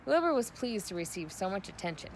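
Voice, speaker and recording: Female storybook narrator, mid-range pitch, read-aloud style, noisy home recording. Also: sing-song, fast.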